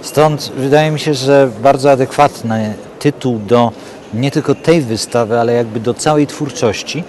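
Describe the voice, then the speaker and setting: A man giving reflective interview commentary, halting and repeating phrases for emphasis. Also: mid-range voice, a little mellow, slight background noise.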